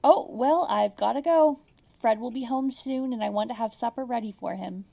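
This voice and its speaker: Meek, worried housewife for audio comedy played by an actress: highish, mellow voice with a creaky edge. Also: female voice, normal speed. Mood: uneasy relief. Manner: fretful, meek. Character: anxious, long-suffering, deferential housewife. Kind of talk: comedic audio drama acting.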